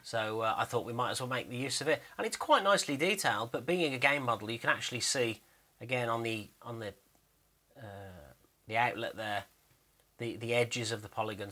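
Man, mid-pitched, bright forceful tone, casual screen commentary, explanatory, hesitant, thinking aloud. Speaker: 3D animator narrating behind-the-scenes work.

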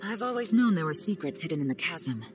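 Woman playing a secretive agent, low and level, measured, score under it. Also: close to an everyday voice. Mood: grave. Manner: calm, measured, low-key. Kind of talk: game cutscene voice acting. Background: game music.

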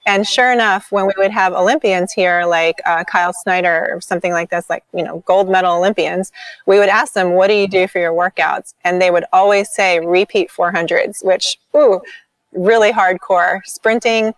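A woman's voice: fairly low pitch, steady tone, normal speed, no echo. A woman in her forties, a podcast guest and sprint coach, talking in an enthusiastic casual way with frequent ums and likes over a video call. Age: 40s. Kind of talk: casual interview chat.

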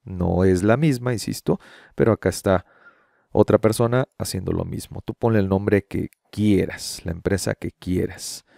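A man's voice: low-pitched, normal speed, vocal fry, warm tone, no room sound. A middle-aged man hosting a video, explaining things casually and speaking directly to the viewer into a close studio microphone. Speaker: YouTube host. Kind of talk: explanatory screen-share commentary, casual. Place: small home room with shelves and framed pictures. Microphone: close studio condenser microphone on boom arm.

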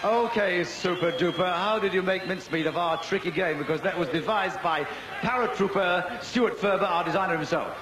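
High-pitched exuberant male game show commentator. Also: moderate pace, hardly any pauses. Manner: exuberant, projecting, showman-like. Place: outdoor park event. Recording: echoey outdoor PA, loud cheering crowd noise.

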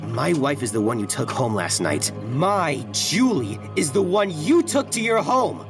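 A man's voice: a little high, very animated, unhurried, distant mic, over music. A man playing a vengeful, insistent jealous husband in a horror audio drama. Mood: menacing. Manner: insistent, interrogating.

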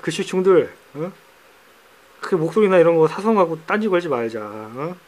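Hoarse chatty man vlogging his pets. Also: a little high, fairly quick. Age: middle-aged. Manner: chatty, teasing, drops into banter. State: hoarse, voice cracks. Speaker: pet keeper vlogger. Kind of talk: casual how-to vlog. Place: indoors among pet enclosures.

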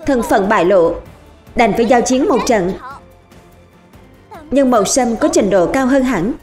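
A woman doing dubbed voice work for a children's animated series. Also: fairly high pitch, measured pace, faint music behind.

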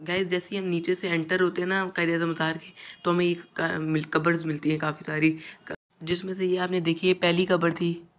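A man's voice: a little high, little pitch movement, fairly quick, fairly bright tone. A man from Pakistan, giving a casual vlog tour of a marble mausoleum crypt on a handheld phone and constantly addressing viewers as "guys". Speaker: vlogger.

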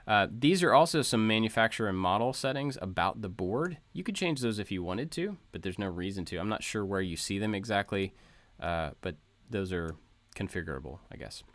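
A man with a fairly low voice talks through a tutorial in a casual, informal manner. He explains things step by step, and the recording is dry and close, with very little room sound. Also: expressive, brisk, fairly bright tone, no room sound. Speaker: tutorial presenter. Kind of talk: casual screen-share code walkthrough.

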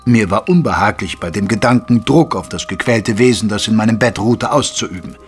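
An actor playing a caring gentleman physician who narrates a German audio drama in a measured, literary way. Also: on the low side, animated, faint music behind, natural voice. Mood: measured narrating.

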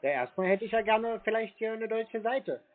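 Terse, slightly high-voiced man on phone. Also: animated, moderate pace. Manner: terse, obstinate, playing clueless. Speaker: caller baiting phone scammers. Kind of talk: phone call, short obstinate replies. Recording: muffled phone line.

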